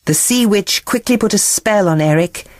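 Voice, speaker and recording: A woman narrating a bedtime story in an expressive, measured and dramatic way. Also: medium pitch, dry recording, faint music behind. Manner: dramatic, measured, acts out characters.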